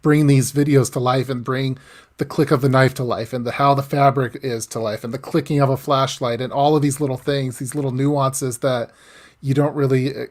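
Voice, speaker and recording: A man hosting a casual live interview, talking in a halting way with lots of restarts and small laughs. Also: mid-range voice, steady tone, darkish tone. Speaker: host.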